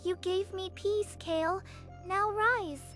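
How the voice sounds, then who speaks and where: A woman voicing a small, gentle girl with a serene soul in a manga dub, speaking tenderly and solemnly. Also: very high voice, steady tone, takes it slowly, faint music behind, full character voice. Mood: serene, urging. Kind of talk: dramatic manga dub acting.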